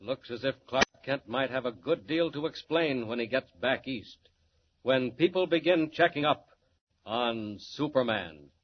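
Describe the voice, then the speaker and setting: A man's voice: medium pitch, lively intonation, a little slow. A man narrating as announcer in dramatic 1940s radio style. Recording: muffled old radio recording, faint noise.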